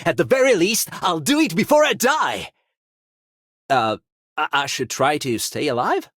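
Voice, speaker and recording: A woman voicing a scrappy, casual young dreamer in a game, with a husky voice and loose boastful delivery. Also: deep voice, sing-song, unhurried, dry recording, clean studio sound, full character voice. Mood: cocky, sheepish. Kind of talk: game character acting.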